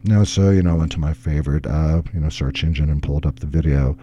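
A hoarse man, rambling easily as a radio DJ between songs. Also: a bass voice, fast talker, warm tone, highs cut off. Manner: rambling, chatty, easygoing storyteller. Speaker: radio DJ and show host. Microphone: studio microphone.

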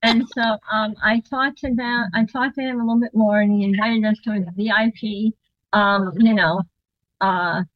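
A woman telling a long story in a casual interview, animated, acting out what people said and laughing often. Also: mid-range voice, normal speed, no room sound, lo-fi sound. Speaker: interview guest, drummer and singer.

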